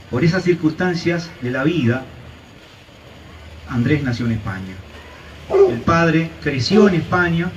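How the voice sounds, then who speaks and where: A man's voice: medium pitch, recorded from a distance, lo-fi sound, a little hiss or hum. Earnest Argentine man giving a memorial speech. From Argentina, Santa Cruz province. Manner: earnest, storytelling, with frequent "eh" and "este" fillers. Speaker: speaker at a memorial ceremony. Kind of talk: commemorative public speech. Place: outdoor street ceremony.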